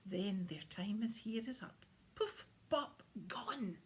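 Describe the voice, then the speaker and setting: A woman's voice: medium pitch, animated, slow, a little mellow. A woman voicing a jolly, proud old Santa who explains things merrily in a children's storybook. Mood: merry, boastful. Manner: merry, explanatory. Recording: faint background noise.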